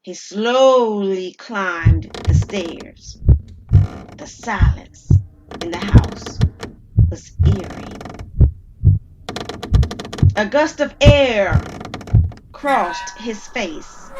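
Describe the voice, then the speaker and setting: A woman storyteller reading scripted dramatic narration with deliberate, suspenseful pauses. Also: medium pitch, muffled recording, heavy background noise.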